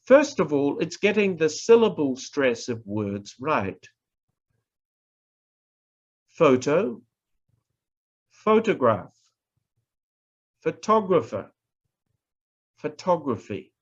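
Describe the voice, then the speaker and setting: Middle-aged male lecturer, New Zealand accent. Slow, emphatic delivery with widely swinging pitch, instructional tone, muffled video-call sound. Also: very animated. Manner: deliberate, emphatic, with pauses and repetition. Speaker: professor teaching public speaking. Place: indoors, online presentation. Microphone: video call microphone.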